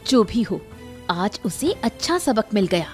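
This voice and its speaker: A woman's voice: mid-range voice, sing-song, a little slow, darkish tone, faint music behind. A woman playing a concerned, sympathetic village girl in a Hindi cartoon story.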